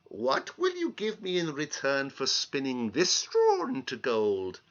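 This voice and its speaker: A man's voice: medium pitch, wildly swooping pitch, a little slow, lo-fi sound. A man voicing a strange little fairy-tale bargainer, sly and teasing, drawing out his words. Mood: sly bargaining.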